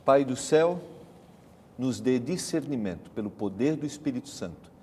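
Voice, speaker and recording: A man's voice: medium pitch, lively intonation, moderate pace, dark tone, big-room sound, a little hiss or hum. A man with a Brazilian accent hosting a religious study discussion program. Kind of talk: televised Bible study discussion.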